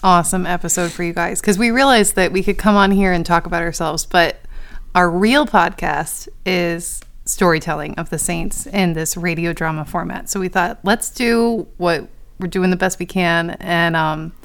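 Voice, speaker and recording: Woman, young adult, slightly low voice, friendly, casual podcast chat. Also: moderate pace, fairly bright tone. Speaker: podcast co-host.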